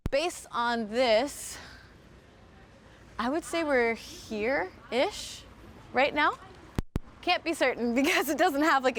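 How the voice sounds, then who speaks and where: A woman's voice: high-pitched, a bit bright, a little hiss or hum. An American woman hosting a live-streamed walking tour outdoors, talking with viewers in a chatty, upbeat way and thinking aloud with lots of fillers. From United States. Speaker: host. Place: outdoors, walking around a historic site.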